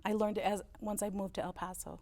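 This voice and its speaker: A woman's voice: mid-range voice, level tone, fast talker. German-born woman telling family stories. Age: 50s. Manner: storytelling, warm recollection with frequent "uh" fillers. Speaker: interview guest, business owner. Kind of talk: relaxed interview conversation.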